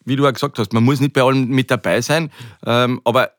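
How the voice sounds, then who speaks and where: A man's voice: medium pitch, fairly even intonation, fast, no room sound, studio quality. A middle-aged man with an Austrian accent talking conversationally as an interview guest, often hesitating and repeating words as he searches for phrasing. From Carinthia, Austria.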